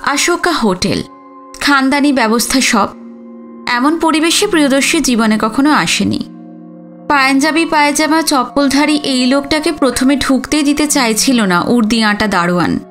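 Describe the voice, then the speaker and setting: A woman's voice: sits high, expressive, moderate pace, fairly bright tone. A woman reading a literary story as a dramatic audiobook narration. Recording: music under the voice. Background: background music.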